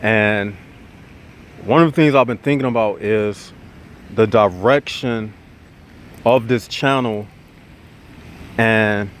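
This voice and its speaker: A man holds forth to his viewers, calling them y'all and building up his points like a street preacher. His voice is a little nasal from congestion, pitched in an ordinary middle range, with a faint hum of a vehicle behind him. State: nasal, getting over congestion. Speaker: channel host. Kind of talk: casual monologue to viewers.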